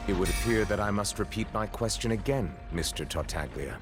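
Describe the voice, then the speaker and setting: An actor voicing a stern, formal judge in an anime game, measured and pompous. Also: male voice, lowish voice, lively intonation, over music, natural voice. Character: stern, formal male judge presiding over a trial.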